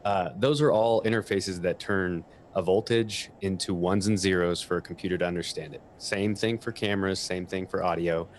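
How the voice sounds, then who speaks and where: Guy with a lowish voice explaining gear step by step, kinda casual, some noise. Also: fairly even intonation, moderate pace, hardly any pauses. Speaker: guest audio equipment expert. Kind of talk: informal technical explanation.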